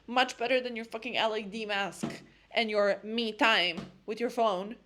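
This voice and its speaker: A woman's voice: fairly high pitch, steady tone, normal speed, a bit bright, faint music behind. A young woman with a Serbian accent, vlogging to camera in a blunt, impassioned monologue about friendship. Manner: impassioned, blunt, repeats words when emphatic. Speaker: lifestyle vlogger.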